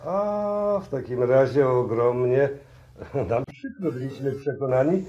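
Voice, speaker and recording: An actor playing an affable, courteous professor with a polite manner in a 1960s radio drama. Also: male voice, mid-range voice, lively intonation, takes it slowly, warm tone, lo-fi sound. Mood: apologetic. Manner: courteous, apologetic. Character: affable, polite male professor, smoothing things over.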